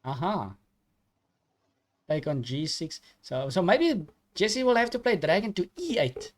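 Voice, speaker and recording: A man from Africa, co-commentating a live online chess match, hesitant with many pauses, reading questions from the chat. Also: high voice, sing-song. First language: Afrikaans. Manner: hesitant, many ums, reads chat aloud.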